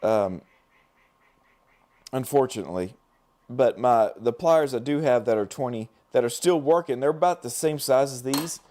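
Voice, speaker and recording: Middle-aged man, hoarse voice, medium pitch, rambling live-stream chat, lots of fillers. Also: moderate pace, dark tone. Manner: rambling, many fillers and restarts, chatting with viewers. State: hoarse, losing his voice. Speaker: dental lab technician hosting a live stream. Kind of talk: casual live-stream chat while working.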